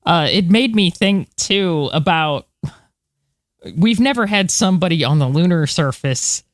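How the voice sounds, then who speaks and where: A woman's voice: lowish voice, expressive, measured pace, no room sound, studio quality. A woman, co-hosting a podcast in casual chat, telling stories informally with slangy asides. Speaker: podcast co-host.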